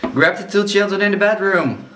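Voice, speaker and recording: A man's voice: high voice, lively intonation, moderate pace, sharp tone. Casting: male actor as hard-edged criminal gang leader, short fuse, menacing orders, radio drama, roomy and off-mic. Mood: enraged. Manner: bossy, threatening. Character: ruthless, short-tempered escaped convict, gang leader. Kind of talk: radio play acting. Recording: echoey, distant, noisy room.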